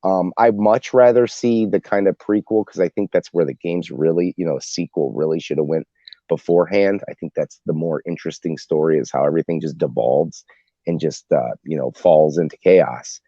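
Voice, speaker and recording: A man with a low, mellow voice talks casually as a podcast panelist, thinking out loud in a rambling way. The recording is dry and slightly muffled. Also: moderate pace, no room sound. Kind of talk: casual group discussion.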